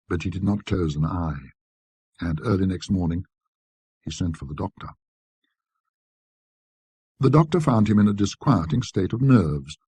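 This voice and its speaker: A man narrating a classic ghost story in a precise, scholarly, measured manner, with a husky, raspy voice. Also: low voice, warm tone, no echo. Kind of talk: dramatic scripted storytelling.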